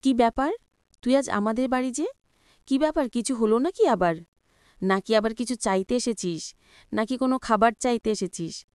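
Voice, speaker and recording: A woman voicing a village mother in a cartoon story, patient yet sharp-tongued. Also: on the high side, normal speed, clean tone, no echo, studio quality, natural voice. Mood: suspicious scolding. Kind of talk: Bangla cartoon story dubbing.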